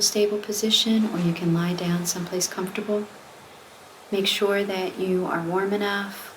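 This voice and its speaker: A woman leading a guided meditation, speaking in a gentle, soothing, conversational way as she gives simple instructions. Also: lowish voice, poor audio. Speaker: meditation guide, wellness coach. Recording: steady noise of ocean waves. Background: ocean wave sounds.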